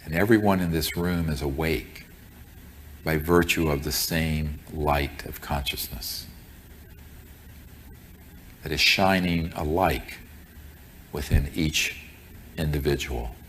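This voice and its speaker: Very deep, slow-spoken male meditation teacher. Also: fairly bright tone, slight background noise. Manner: solemn, deliberate, measured phrasing. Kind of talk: guided meditation instruction to a group. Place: room with a group present.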